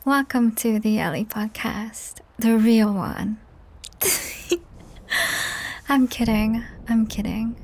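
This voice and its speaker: A young woman hosting a whispered, ASMR-style chat podcast, rambling softly with giggles, her voice strained and cracked. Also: on the high side, takes it slowly, long pauses. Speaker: podcast host and audio creator. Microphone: high-end studio microphone.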